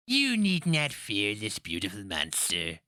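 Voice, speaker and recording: A man's voice: lowish voice, wildly swooping pitch, measured pace, sharp tone, no echo, clean studio sound, exaggerated voice. A man voicing a mocking, cajoling old witch of a grandmother in a dramatic fantasy story reading.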